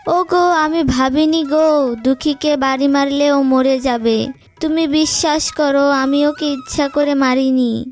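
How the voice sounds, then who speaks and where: A woman's voice: sits high, steady tone, a little slow. A woman as a panicky guilty stepmother. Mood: frightened. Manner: panicky, pleading cries. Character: guilty stepmother, frightened and pleading. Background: background music.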